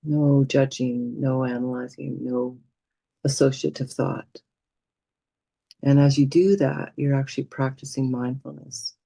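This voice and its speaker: A woman guides a meditation in a soft, mellow voice with little edge to it. Her delivery is gentle and soothing, leaving space between short phrases. The sound is dry and close, with no room echo. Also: extremely low pitch, level tone, creaky, breathy edge. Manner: gentle, soothing, unhurried with pauses. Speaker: meditation teacher.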